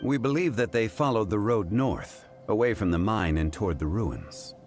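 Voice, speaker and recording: An actor voicing a calm, dignified and learned gentleman in a video game, speaking in a measured, formal way. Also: lowish voice, faint music behind, natural voice. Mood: composed.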